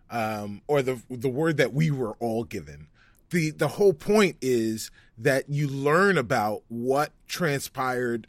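A man's voice: medium pitch, no room sound. A man bantering casually as a panelist on a game show.

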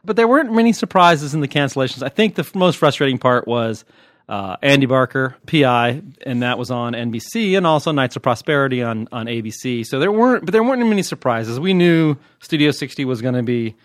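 American male podcast host, mid-pitched, wry and casual critic's banter, dry studio-close sound. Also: lively intonation, a little fast, no room sound. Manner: wry, joking.

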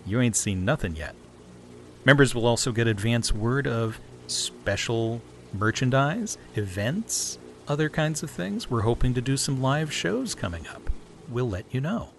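A man's voice: mid-range voice, slight background noise. A man hosting a podcast, reading a cheerful membership appeal. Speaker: podcast host.

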